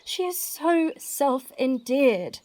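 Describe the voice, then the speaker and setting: High, lively scheming young gentlewoman. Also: slow, full character voice. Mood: mock disdain. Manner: theatrical, emphatic verse delivery. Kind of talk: Shakespearean audio play acting.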